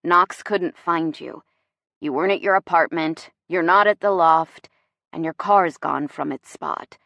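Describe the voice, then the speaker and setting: A woman voicing a bossy but warm and protective young boyfriend, soft-spoken and wry with an intense edge. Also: a little high, a little slow, gravelly, no echo, exaggerated voice. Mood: concerned. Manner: soft-spoken, wry, growling when strained.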